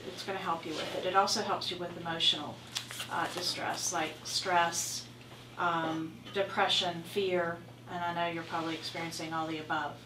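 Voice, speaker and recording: A woman playing a reassuring oncology massage therapist in an amateur role play, explaining in a halting way. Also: lowish voice, close to an everyday voice. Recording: roomy, distant mic with faint noise.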